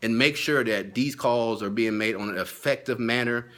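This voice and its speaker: A man's voice: medium pitch, moderate pace, bright, forceful tone. A man speaking as a sales training consultant in an informal video lesson, stressing and repeating his key points. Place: at home.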